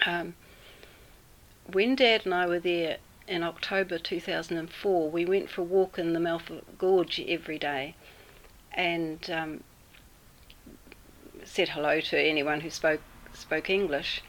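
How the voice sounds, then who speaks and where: A woman with a New Zealand accent telling a personal story in an interview, unhurried and full of pauses. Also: fairly low pitch, fairly even intonation, a bit bright, rough recording. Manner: unhurried, with many fillers and pauses. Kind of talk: casual storytelling.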